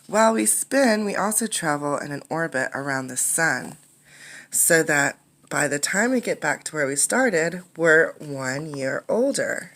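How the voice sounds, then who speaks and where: A woman reading a picture book aloud as a teacher, in an instructive way, pausing to explain and ask questions. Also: sits low, animated, a bit bright.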